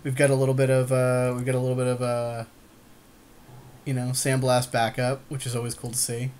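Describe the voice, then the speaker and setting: A man's voice: mid-range voice, monotone, brisk, darkish tone, a little hiss or hum. A man co-hosting a podcast, giving casual card game commentary in informal, agreeable chatter with his partner. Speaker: podcast co-host.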